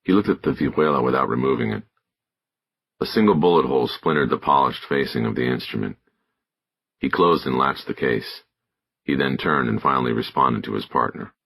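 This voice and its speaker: Very deep gravelly male narrator. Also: a little fast, fairly bright tone. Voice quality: gravelly, husky. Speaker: audiobook narrator. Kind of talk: scripted narration of a crime thriller.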